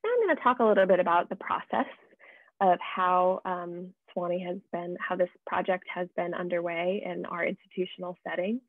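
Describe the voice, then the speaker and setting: A young American woman giving an informal, explanatory slide presentation over a video call, speaking somewhat haltingly. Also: lowish voice, dry recording. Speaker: project presenter. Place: indoors at home, on video call. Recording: muffled, band-limited video call audio.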